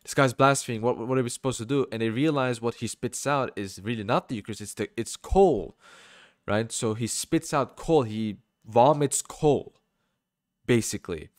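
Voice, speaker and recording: A man's voice: mid-range voice, normal speed, dry recording, clean studio sound. Man giving emphatic, informal religious commentary. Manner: emphatic, rhetorical repeated questions, colloquial asides.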